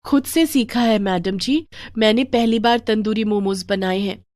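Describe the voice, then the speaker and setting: A woman's voice: mid-range voice, a little slow, a little mellow, dry recording, close to an everyday voice. A woman playing a humble, polite young food seller in a moral story, grateful and deferential. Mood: humble, pleased. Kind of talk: Hindi moral story character voice.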